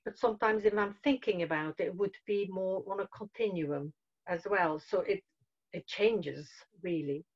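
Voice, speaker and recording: A woman's voice: medium pitch, normal speed, breathy edge. A woman in her fifties on a video call, describing her thoughts hesitantly and searching for words. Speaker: participant. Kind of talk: hesitant casual chat. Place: small room at home. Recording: dull lo-fi call audio.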